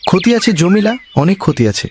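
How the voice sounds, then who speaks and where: A man's voice: high voice, quick pace, background noise, close to an everyday voice. A man voicing a humble, earnest poor village husband in a cartoon, speaking in a cautioning, moralising way. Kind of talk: cartoon voice acting.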